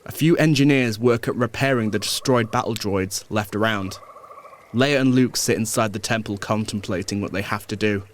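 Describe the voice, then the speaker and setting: A man's voice: fairly low pitch, moderate pace, slight background noise. A man narrating a scripted audio drama. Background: music.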